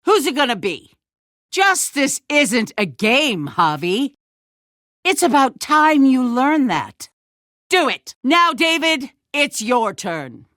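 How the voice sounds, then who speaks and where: A woman playing a calculating, ruthless leader of a survivor town in a video game, speaking in a measured, condescending way with controlled menace. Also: sits high, very animated, fairly bright tone, dry recording, clean studio sound, heavily acted. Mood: taunting, commanding. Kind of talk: dramatic video game acting.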